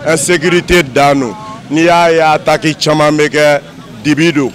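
A young man answering a street interview outdoors, speaking into a handheld mic, earnest and steady as he complains. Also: a little high, normal speed, some chatter behind. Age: young adult. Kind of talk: vox pop answer. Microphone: handheld mic held by interviewer.

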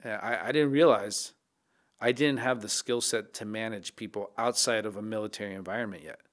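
A man in his thirties, a chef and podcast guest, talking in a reflective, casual way, often restarting his sentences, into a studio mic in a dark podcast studio. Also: on the low side, a little fast. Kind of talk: casual interview talk. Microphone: studio mic on boom arm.

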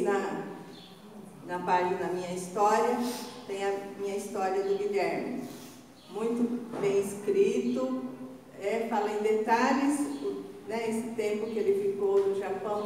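An older woman from Brazil giving unscripted church announcements from a stand microphone, slow and halting, with many fillers and restarts. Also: medium pitch, dark tone, far from the mic, slight background noise. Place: large church hall indoors, altar behind. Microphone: stand mic a little off. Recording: echoey hall recorded from afar.

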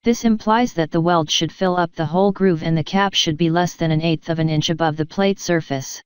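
A woman giving a measured, even, scripted narration as an instructor, explaining technical material step by step. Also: fairly low pitch, clear, resonant tone, dry recording.

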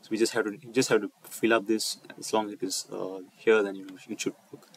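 A man presenting an unscripted coding walkthrough over a screen recording, speaking haltingly and often repeating and rephrasing his phrases. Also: lowish voice, flat delivery. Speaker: tutorial presenter.

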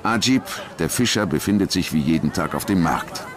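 A man's voice: sits low, lively intonation, moderate pace, a crowd in the background. A man narrating a documentary from a script in a dramatic style. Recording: voice-over with clear background noise.

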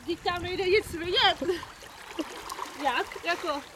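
Very high-pitched woman amid splashing water. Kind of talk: casual chat. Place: outdoors, in and beside a river. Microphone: camera mic a few metres away. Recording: noisy outdoor camera audio, splashing water.

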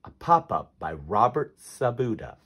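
A man reading a children's verse story aloud with expressive, theatrical phrasing. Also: mid-range voice, a little slow, husky, lo-fi sound. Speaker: storybook reader.

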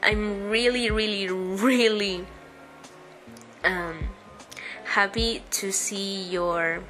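A woman's voice: mid-range voice, fairly even intonation, extremely slow. A young woman from Uruguay with a Spanish accent, a native Spanish speaker, chatting hesitantly over a speedpaint video with many ums and restarts. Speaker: artist answering viewer questions. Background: soft background music.

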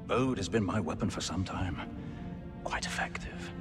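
A man's voice: low-pitched, moderate pace, music in the background, natural voice. A man playing a haughty, calculating Imperial intelligence commander in a video game, formal and condescending. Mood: cold, condescending. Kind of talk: cinematic game dialogue.

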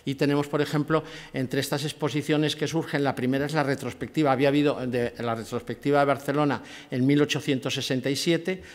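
A man in his sixties giving an academic lecture from a table at a conference, measured and often restarting his phrases. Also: mid-range voice, fairly even intonation. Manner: measured, with frequent restarts and fillers. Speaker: conference speaker. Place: lecture hall, seated at a table with a laptop. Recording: long hall reverb.

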